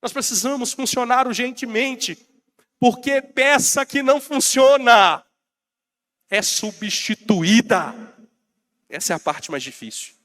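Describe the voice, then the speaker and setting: A man's voice: extremely high pitch, animated, takes it slowly, fairly bright tone. A Brazilian man preaching a sermon as pastor, rousing and calling out to his congregation, while he has a bad cold. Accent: Brazilian Portuguese.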